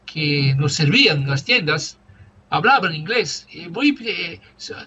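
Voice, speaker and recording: A man whose first language is Portuguese, practicing conversation as a language student and pausing often to search for words. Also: on the high side, animated, bright, forceful tone, dull audio, slight background noise. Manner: halting, searching for words, many fillers.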